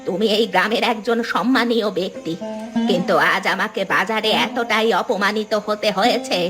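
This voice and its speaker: A woman's voice: mid-range voice, fairly even intonation, normal speed, over music, close to an everyday voice. A woman voicing an aggrieved, cantankerous old village meat seller in a cartoon, with rustic, complaining speech. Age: older. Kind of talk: cartoon character acting.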